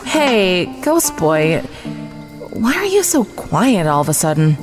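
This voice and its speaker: A woman's voice: fairly low pitch, very animated, takes it slowly, over music. A woman playing a lonely, possessive, childlike snake woman in an audio drama. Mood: curious, playful.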